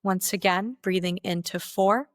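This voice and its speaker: A woman leading a guided meditation as its guide, speaking in slow, deliberate instructional phrases with pauses. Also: mid-range voice, steady tone, dry recording, studio quality.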